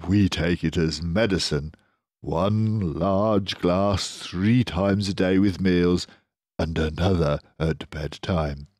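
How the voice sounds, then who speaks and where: Storybook badger played by a man: grown, hearty fellow who relishes drink, very unhurried, stretching out each phrase with relish. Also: sits low, darkish tone, no echo, studio quality. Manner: savouring, drawn-out words. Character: hearty, cider-loving adult male badger.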